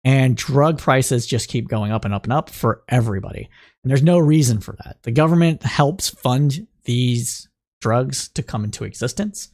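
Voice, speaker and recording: Slightly raspy man hosting political talk. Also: medium pitch, normal speed, darkish tone. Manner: thinking aloud, many fillers and restarts, reads news excerpts aloud. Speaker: podcast host. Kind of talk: political news commentary.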